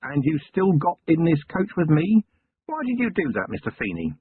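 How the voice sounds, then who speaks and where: A man voicing a weary, gruff middle-aged police commander with a dry, sardonic manner in an audiobook. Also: on the high side, wildly swooping pitch, moderate pace, voice pushed up, exaggerated voice. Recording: tinny lo-fi narration recording.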